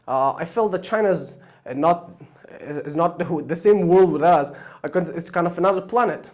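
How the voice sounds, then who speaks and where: A host, a Persian and Pashto speaker, talks through the plan for a language course without a script. The speech is full of ums, uhs and false starts. The voice sits at a middling pitch and leaps upward on words being stressed. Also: moderate pace, a little mellow. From Afghanistan. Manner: hesitant, many fillers and restarts, pitch jumping up on key words. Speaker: online Chinese course host. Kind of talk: unscripted explanation of a course plan.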